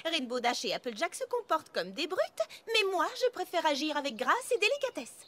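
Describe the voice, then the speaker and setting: A woman's voice: high-pitched, big pitch swings, fairly quick, sharp tone, a little hiss or hum, heavily acted. A woman voicing a vain, refined young unicorn with an affected, melodramatic manner in a French cartoon dub. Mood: prim, indignant. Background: music.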